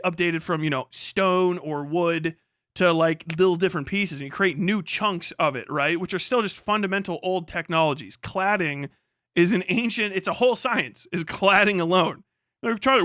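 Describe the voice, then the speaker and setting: A man's voice: sits high, moderate pace, pushed and bright, no room sound, studio quality. A man on a casual, emphatic livestream rant, speaking off the cuff, repeating himself for emphasis and swearing freely. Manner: emphatic, repetitive, profane.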